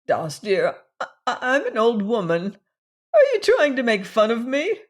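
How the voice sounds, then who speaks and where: A fretful, fluttering old woman cousin, as a woman reader puts her on, pitching her voice up high to plead and fuss. The delivery is slow and drawn out, nervous and tearful. It is a storybook reading. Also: huge pitch swings, heavily acted. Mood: pleading fussy. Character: fussy elderly woman cousin, anxious, matchmaking, tearful.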